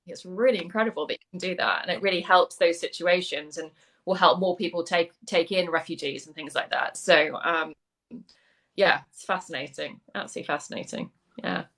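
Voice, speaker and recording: A woman's voice: lowish voice, a little fast, fairly bright tone. Effusive woman hosting a book chat. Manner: enthusiastic, effusive. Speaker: host. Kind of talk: casual video chat interview.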